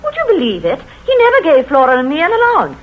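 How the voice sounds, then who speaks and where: A woman's voice: high voice, huge pitch swings, fast. A huffy, snobbish older mother. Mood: indignant. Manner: huffy, theatrical complaining. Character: indignant, snobbish widowed mother, older woman. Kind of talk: 1940s radio acting. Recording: dull old radio broadcast recording.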